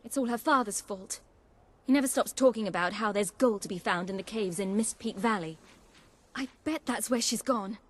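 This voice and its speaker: A woman's voice: medium pitch, moderate pace, lightly acted. A woman playing an anxious, doting village mother in a fantasy game, fretful and emotional. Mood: frantic pleading.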